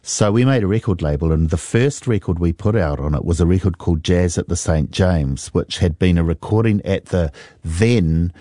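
A man's voice: sits low, normal speed, dark tone, no room sound, studio quality. A middle-aged New Zealand man, a musician, telling stories reflectively and unhurriedly in an interview, with many fillers. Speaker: musician guest. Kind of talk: relaxed interview storytelling.

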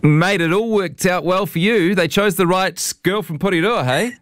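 A man with a New Zealand accent hosting a radio interview, relaxed and chatty. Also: high voice, moderate pace, dry recording, clean studio sound. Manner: relaxed, chatty, says "mate". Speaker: radio host.

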